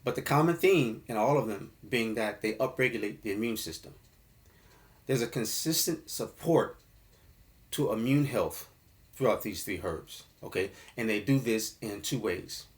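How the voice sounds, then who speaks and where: Low-voiced man explaining, checks in often. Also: expressive, moderate pace. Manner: explanatory, keeps checking in with "okay?"; casual, addresses listeners as fam. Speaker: herbal formula presenter. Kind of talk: informal explainer talk.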